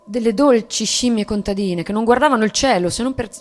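An actress playing a submissive, nostalgic young bourgeois wife in a literary radio drama, speaking deliberately. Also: female voice, fairly high pitch, a little mellow, natural voice. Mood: impassioned. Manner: slow, deliberate, at times whispered. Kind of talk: dramatic stage reading for radio, literary monologue.

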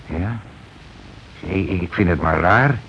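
A man's voice: sits low, takes it slowly, gravelly, a bit bright, heavily acted. An actor in a radio play as a tipsy, blustering working-class husband and union man, blunt and colloquial, repeating what he hears. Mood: puzzled, probing. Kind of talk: naturalistic radio play dialogue. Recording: muffled, noisy old radio broadcast recording.

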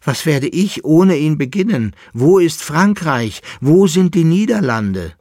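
A man's voice: on the low side, lively intonation, a little slow, breathy edge, dry recording, studio quality. A man voicing a distraught fugitive in an audiobook story, wailing and agitated, full of exclamations and pleading questions. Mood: despairing.

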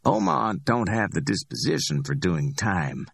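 A man's voice: deep voice, very animated, gravelly, a bit bright, slightly rough, no echo, studio quality, exaggerated voice. A man voicing a cocky middle-aged hustler who teases and drawls his words. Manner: teasing, drawn-out, challenging.

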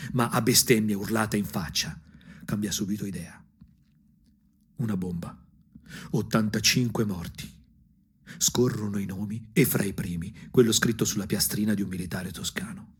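A man from provincial Tuscany narrating a theatrical radio monologue, husky, dramatic and ironic, dropping into whispers. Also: on the low side. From provincial Tuscany, Italy. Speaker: narrator and actor.

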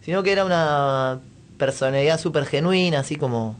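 A man's voice: a little high, brisk, a little hiss or hum. An Argentine man hosting a radio show, talking politics in an informal, conversational way. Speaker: radio host. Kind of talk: casual chat, political commentary.

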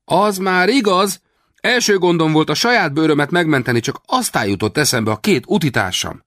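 Brief: a man playing a shrill, fawning storybook henchman, gushing and theatrical, close and dry. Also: lively intonation, a bit bright, no room sound. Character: fawning, feigning male henchman.

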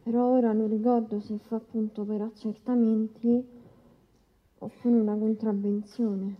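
Guarded, flat-voiced young female witness. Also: on the high side, a little slow, phone-quality sound. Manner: restrained, plain, short answers. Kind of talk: courtroom docudrama radio acting.

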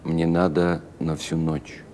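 A man acting a weary, low-voiced ageing merchant. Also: little pitch movement, takes it slowly, a little mellow, slight background noise. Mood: weary, grumbling. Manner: weary, terse, evasive. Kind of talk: literary audio story acting.

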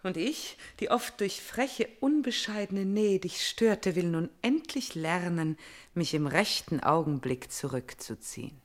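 Slow, fervent tragic princess. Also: female voice, lowish voice, animated. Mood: mock servile. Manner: declamatory, fervent, deliberate. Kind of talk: intense classical German radio tragedy acting.

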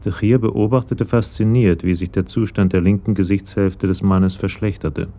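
An actor narrating a German crime radio play in a detached, observant, scripted manner. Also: male voice, sits low, fairly even intonation, moderate pace, mellow.

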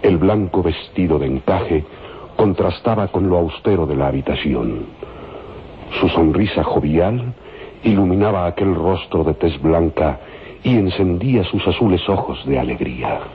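An actor narrating an adventure serial as a solemn storyteller, dramatic and measured, in old radio drama style. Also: male voice, deep voice, animated, slight background noise. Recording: muffled old radio recording, loud music.

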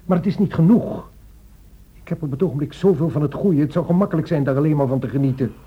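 An actor playing a weary, guilt-ridden husband, earnest but strained, in a radio play. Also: male voice, on the high side, fast, breathy edge. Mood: earnest, evasive. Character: weary, guilt-ridden, evasive devoted husband, helpline volunteer. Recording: dull, lo-fi old radio recording.

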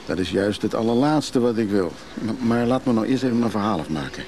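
An actor playing a restless, evasive recovering drinker in a radio play, hesitant and confiding. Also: male voice, on the low side, lively intonation, brisk, darkish tone, a little hiss or hum. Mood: uneasy, confessional. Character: restless recovering drinker, evasive man who craves freedom. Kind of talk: naturalistic Dutch radio drama acting.

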